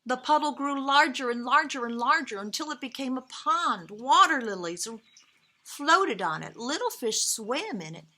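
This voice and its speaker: A woman reading a picture book aloud to young children, outdoors, with dramatic pauses, emphasized key words and gentle asides to her listeners. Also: sits high, expressive. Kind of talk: expressive children's read-aloud.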